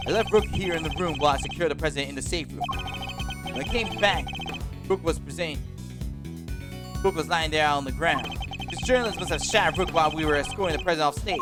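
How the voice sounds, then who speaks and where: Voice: mid-range voice, lightly acted. A streamer voicing an arrogant, scheming male bodyguard in a fan dub of a story game, boastful and sneering, full of chess talk.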